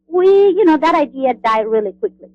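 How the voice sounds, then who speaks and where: A woman, talking in a friendly, halting way. The sound is dull and lo-fi, like a phone line, and very dry. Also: high voice, expressive, dry recording. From Honduras. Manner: friendly, halting with many fillers. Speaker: guest, cookbook author. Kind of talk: radio interview.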